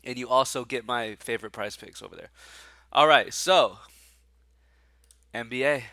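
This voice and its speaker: Man, congested and nasal, excitable and slangy, sharp bright voice, dry close sound. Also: mid-range voice, moderate pace, creaky, no room sound. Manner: excitable, slangy, swears casually. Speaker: sports betting picks host. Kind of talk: casual betting picks talk.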